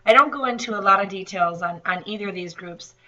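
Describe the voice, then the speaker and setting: A woman nutrition instructor giving a recorded online lecture, her voice slightly raspy from allergies, with many fillers and restarts. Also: mid-range voice, fast, pushed and bright, highs cut off. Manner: hesitant, many fillers and repeated words.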